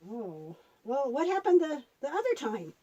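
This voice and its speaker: A woman's voice: high voice, big pitch swings, unhurried, dark tone, lo-fi sound. A woman voicing an inquisitive young boy puppet who asks short, eager questions in a children's story. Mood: curious.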